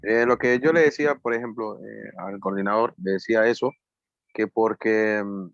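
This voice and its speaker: A man's voice: lowish voice, steady tone, normal speed, gravelly, no room sound, dull audio. A man, a student replying informally and hesitantly in class.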